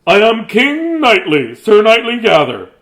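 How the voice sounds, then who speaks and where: A man's voice: high voice, huge pitch swings, unhurried, fairly bright tone, pitched above the performer's own voice, exaggerated voice. A man voicing a pompous storybook knight king who declaims grandly. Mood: proud, booming.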